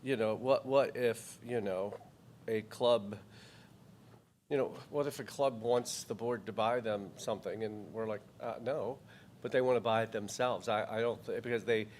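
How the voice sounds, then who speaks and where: A man's voice: lowish voice, fairly even intonation, normal speed, a little mellow, slight background noise. A man in a school board meeting thinking aloud hesitantly, with broken starts. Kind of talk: meeting discussion.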